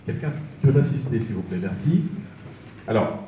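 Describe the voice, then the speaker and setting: A man's voice: fairly low pitch, animated, normal speed. Formal male moderator, very echoey hall. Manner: courteous, wordy formal phrasing. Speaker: press conference moderator. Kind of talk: formal moderation, addressing the press. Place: press conference room in Brussels. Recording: very echoey hall, distant, dull, faint noise.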